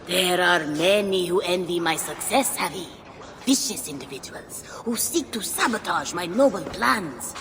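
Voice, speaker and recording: A woman's voice: fairly low pitch, expressive, unhurried. An actress playing a sly, teasing, scheming female merchant imp in a video game, with a playful, insinuating, theatrical delivery. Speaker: merchant character. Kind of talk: game cutscene acting. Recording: long hall reverb, clear background noise.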